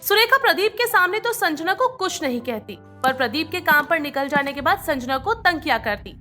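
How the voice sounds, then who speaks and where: High, lively woman narrating a story. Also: moderate pace, soft background music. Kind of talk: scripted narration of a moral bedtime story.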